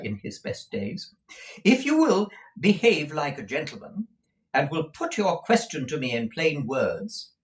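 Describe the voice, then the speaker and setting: A man's voice: medium pitch, fairly bright tone, voice pushed up, poor audio. A man doing a pompous, huffy mother. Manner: pompous, indignant, self-pitying. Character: vain, fussy middle-aged mother, easily offended.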